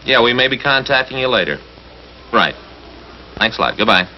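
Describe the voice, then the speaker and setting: An American actor in middle age playing a weary, laconic police detective in a 1950s police drama, speaking in a low-key way. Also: male voice, expressive, moderate pace, close to an everyday voice. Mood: businesslike, weary. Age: middle-aged. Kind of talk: 1950s police drama acting, terse dialogue. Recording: dull, slightly noisy 1950s film soundtrack.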